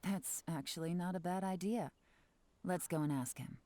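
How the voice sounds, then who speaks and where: Woman playing a cool low-voiced investigator. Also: normal speed, mellow, a little hiss or hum, lightly acted. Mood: composed. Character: cool, guarded, shrewd female investigator. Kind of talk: video game voice acting. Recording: game music behind the voice.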